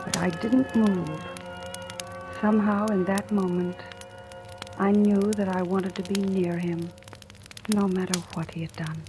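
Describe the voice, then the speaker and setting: An actress playing a timid, devoted young woman in a 1940s style radio drama, speaking softly and hesitantly. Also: medium pitch, fairly even intonation, a little slow, pauses often, natural voice. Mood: hushed narrating. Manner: hesitant, soft-spoken. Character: timid but devoted young woman, new household employee. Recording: old radio recording, music behind.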